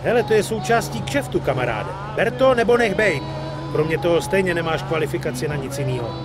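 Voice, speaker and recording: A brash young mobster, acted by a man, talks in a high voice full of street slang and swagger. He is cocky and streetwise, straight out of a crime video game. Music plays clearly behind him. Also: expressive, close to an everyday voice. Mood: breezy, streetwise. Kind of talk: dubbed crime game dialogue.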